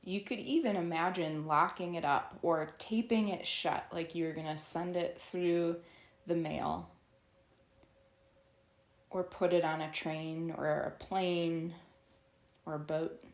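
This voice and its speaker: A woman leading a guided yoga nidra meditation as a teacher, soothing and instructional, with long pauses. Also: fairly low pitch, fairly even intonation, reverberant.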